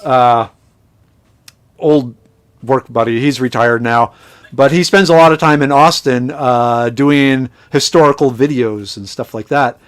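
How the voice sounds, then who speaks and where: A man's voice: mid-range voice, steady tone, a little slow, clear, resonant tone. A middle-aged man from Minnesota, a podcast guest who tells stories in a chatty way, with many restarts, over a remote call. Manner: chatty storyteller with frequent restarts. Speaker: podcast guest, chemist and foraging instructor. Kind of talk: casual podcast conversation.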